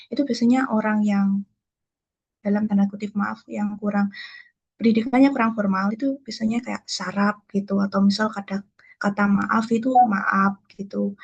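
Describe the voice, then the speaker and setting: A woman's voice: medium pitch, darkish tone, husky, muffled recording. A young woman from presenting in an online class, explaining casually. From Kediri, East Java. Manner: casual explanatory, fillers like gitu. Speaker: student presenter.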